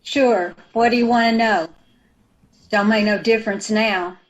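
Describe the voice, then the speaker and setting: A woman's voice: mid-range voice, fairly even intonation, unhurried, lightly acted. An actress playing a bitter, resentful poor woman shielding her sister, in an old-time radio drama, with folksy ungrammatical speech. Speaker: radio actor.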